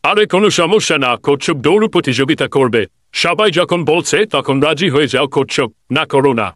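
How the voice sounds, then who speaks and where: A man voicing a gruff, brisk animal who takes charge in a children's cartoon. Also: a little high, fairly bright tone, no echo, studio quality, lightly acted. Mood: commanding.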